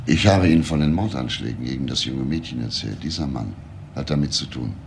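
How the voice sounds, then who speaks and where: An actor playing a composed, persistent police detective in a crime radio play, asking probing, deliberate questions. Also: male voice, extremely low pitch, expressive, creaky, heavily acted. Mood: stern. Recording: faint background noise.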